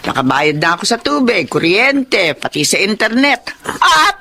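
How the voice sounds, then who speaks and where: An actress playing a thrifty, sharp-tongued middle-aged mother who scolds and mocks her husband. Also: mid-range voice, very animated, a little slow, slight background noise, heavily acted. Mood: scolding.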